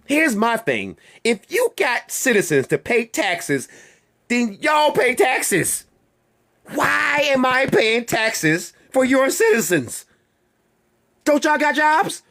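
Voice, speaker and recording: Fired-up man ranting, high and lively. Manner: profane, rhetorical questions, repeats himself; heated and emphatic. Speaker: channel host. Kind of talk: ranting monologue to viewers.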